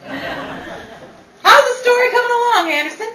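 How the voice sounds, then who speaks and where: A woman playing an assertive, quick-witted 1930s Hollywood actress and author in old-time radio style. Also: very high-pitched, animated. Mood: brisk, probing. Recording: roomy, distant, noisy live audience recording.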